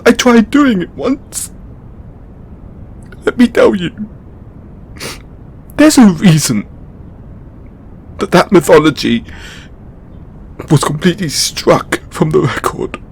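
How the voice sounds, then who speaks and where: A tearful, overburdened male god, acted out by a man, pleads in a high, widely swinging voice. He speaks slowly and haltingly, anguished and exasperated, as in an intimate audio roleplay. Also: lively intonation, slight background noise, exaggerated voice. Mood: anguished, defensive. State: crying. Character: distraught, overburdened male god venting to his devotee. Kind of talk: emotional audio roleplay acting.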